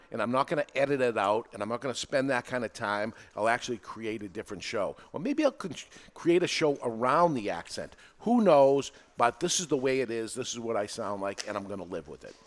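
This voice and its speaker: A man's voice: mid-range voice, expressive, moderate pace. A man with a New England accent hosting a live podcast in a studio, talking plainly and pushing each point with energy. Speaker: podcast host.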